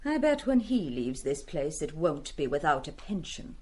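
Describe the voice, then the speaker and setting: An actress playing a disdainful middle-aged schoolmaster's wife in a radio play, with a brisk, sardonic manner. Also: female voice, low voice, big pitch swings, slightly rough, exaggerated voice.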